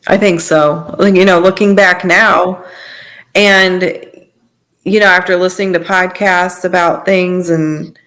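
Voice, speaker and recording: A woman speaking as a podcast guest, giving a reflective, halting personal testimony in a conversational way. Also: fairly low pitch, little pitch movement, fairly bright tone.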